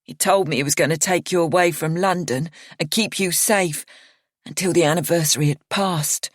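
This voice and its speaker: A woman reading an audiobook, voicing a bitter, grieving young woman with a slow, accusing drawl. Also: lowish voice, dry recording, studio quality, natural voice.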